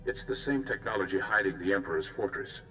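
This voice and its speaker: An actor voicing a calm, principled Jedi mission leader in a video game, with a formal, courteous manner. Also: male voice, deep voice, fast, faint music behind, low-quality recording. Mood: measured, serious.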